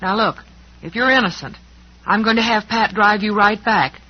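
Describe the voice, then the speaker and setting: A muffled vintage broadcast carries a kindly western townsperson. The voice is high and husky, reassuring in old radio drama style. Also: male voice, normal speed.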